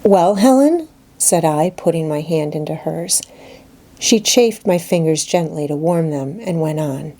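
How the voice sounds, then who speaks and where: A woman's voice: sits low, fairly even intonation, a little slow, warm tone. A woman reading a classic novel aloud as scripted literary narration. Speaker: audiobook narrator.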